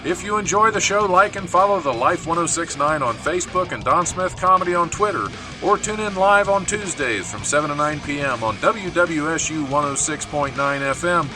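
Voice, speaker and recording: A man reading a scripted radio show intro in a brisk announcer delivery. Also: on the high side, animated, far from the mic, music in the background.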